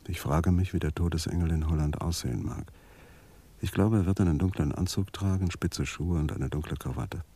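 An actor playing a world-weary, resigned man in a measured radio drama monologue. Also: very deep voice, creaky, warm tone, slightly rough, exaggerated voice. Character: world-weary man voicing his suicidal letter.

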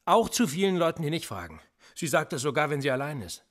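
A needling police interrogator. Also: male voice, on the high side, very animated, quick pace, fairly bright tone. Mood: insinuating. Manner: needling, insinuating questions. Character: menacing male police interrogator, probing and mocking. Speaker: police officer. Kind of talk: radio play acting.

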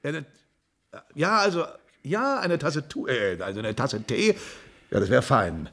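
A man's voice: mid-range voice, animated, unhurried, vocal fry, fairly bright tone, exaggerated voice. An actor in a comic radio play playing a flustered, stammering astronaut, a fussy civil servant nearing his pension. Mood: puzzled, fussy. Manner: stammering, hesitant, fussily exasperated.

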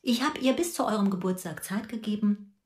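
A woman's voice: mid-range voice, brisk, roomy sound, lightly acted. An actress playing a stern, controlling mother of grown twins in a radio drama, clipped and cold.

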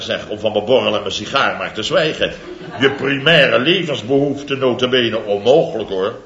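A man's voice: background noise, close to an everyday voice. An actor playing a pompous, blustering company boss in his fifties, in a 1960s radio comedy. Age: 50s. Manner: bombastic, theatrical, swinging from booming to muttering. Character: pompous blustering fifty-something male building-firm boss. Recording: dull, roomy vintage radio recording.

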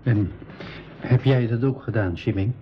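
A man's voice: medium pitch, a little slow, slight background noise, lightly acted. A man playing a friendly, idealistic adventurer in a school radio play, with an earnest, declamatory delivery. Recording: dull lo-fi 1980s radio recording.

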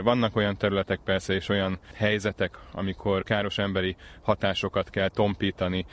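A man explaining things as a conservation specialist in an outdoor interview, measured and precise. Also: sits low. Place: outdoors in a wetland reserve.

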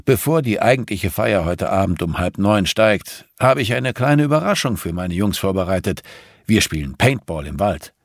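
A man's voice: on the low side, expressive, moderate pace, no echo, studio quality. A man voicing a jovial, patronizing, muscular rich father with a commanding, expansive manner in an audiobook. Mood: brisk, businesslike.

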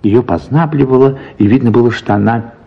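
A man playing a reflective, gentle narrator recalling his life in measured literary radio storytelling. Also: medium pitch, vocal fry, lo-fi sound.

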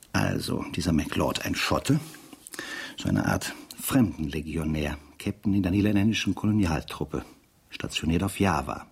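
An actor playing a dry, sardonic narrator who muses aloud in a conversational radio play style, with a husky, gravelly voice. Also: male voice, low voice, darkish tone, full character voice. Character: dry, sardonic male investigator-narrator reading the files.

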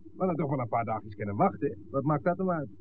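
A man's voice: medium pitch, lively intonation, fast talker, lightly acted. Man acting a grumbling gangster henchman, tinny old radio. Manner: blunt, complaining. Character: grumbling, wary male gangster henchman, rough-spoken and argumentative. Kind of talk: 1960s radio drama acting. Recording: noisy, narrow-band old radio recording.

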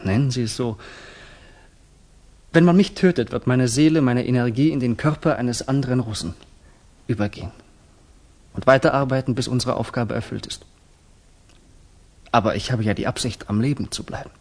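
Radio drama casting: male actor as idealistic young Russian revolutionary, husky mid-pitched voice, earnest, trusting, fervent. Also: normal speed, long pauses, darkish tone, lightly acted. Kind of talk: 1960s German radio drama acting.

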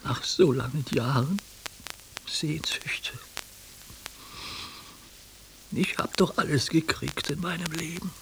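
An actor in a German radio play playing an anguished ageing judge who speaks for his neglected heart in a halting, hushed confession. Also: a little high, poor audio. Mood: weary, yearning. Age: older. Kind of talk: German radio play acting, intimate monologue. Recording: hissy radio play recording.

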